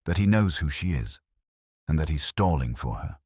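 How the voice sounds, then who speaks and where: A man voicing a very deep, measured police inspector. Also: lively intonation, slightly rough, dry recording, clean studio sound, full character voice. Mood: thoughtful. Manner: slow, soft-spoken, measured. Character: calm, courteous, shrewd male police inspector. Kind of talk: audiobook character dialogue.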